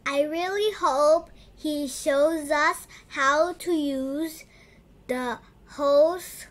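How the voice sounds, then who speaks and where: A woman's voice: high voice, very slow, voice pushed up. A woman voicing an eager, curious young schoolchild on a class trip in a storybook, with a wide-eyed, childlike manner. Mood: hopeful.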